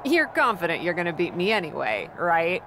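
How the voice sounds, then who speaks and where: A woman's voice: fairly low pitch, big pitch swings, fairly quick, a bit bright, slight background noise, low-quality recording. An actress playing a confident young female wrestling champion who talks in a playful, persuasive way. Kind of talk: video game cutscene acting.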